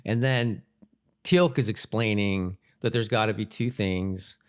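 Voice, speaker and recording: Low-voiced American man chatting casually. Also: a little slow, smooth. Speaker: podcast co-host. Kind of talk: casual chat recapping a TV episode.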